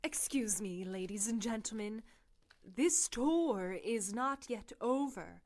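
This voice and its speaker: Woman acting a slow-talking, genteel prison circus guide. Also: a little high, expressive, a little mellow. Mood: smoothly gracious. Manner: slow, grandly formal showwoman delivery. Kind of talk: audio drama acting.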